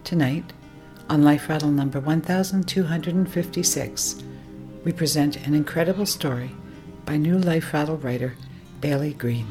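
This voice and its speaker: Woman, radio host, low voice, formal announcing, soft music behind. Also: normal speed. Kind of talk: scripted radio introduction.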